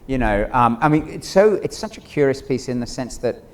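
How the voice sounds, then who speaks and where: A man's voice: medium pitch, expressive, brisk, darkish tone. A middle-aged man speaking as a theater director on an informal panel, digressive and acting out imagined quotes. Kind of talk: animated informal panel discussion. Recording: noisy live room ambience.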